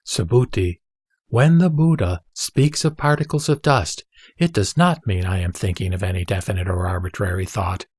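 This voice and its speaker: A man playing the Buddha as a serene spiritual teacher, speaking in a measured, solemn, instructive way in a dramatised scripture reading. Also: mid-range voice, a little mellow, no echo, clean studio sound, close to an everyday voice.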